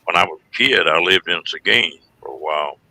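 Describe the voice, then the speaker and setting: Texan man, folksy storyteller, gravelly with a forceful, very bright edge. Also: low voice, lively intonation, brisk, slight background noise, rough recording. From Texas, United States. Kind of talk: casual group chat, storytelling.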